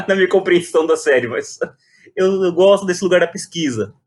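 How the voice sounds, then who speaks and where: A man's voice: sits high, animated, moderate pace. A man, telling his story reflectively and animatedly in a conversational interview. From Brazil. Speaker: guest, playwright and poet.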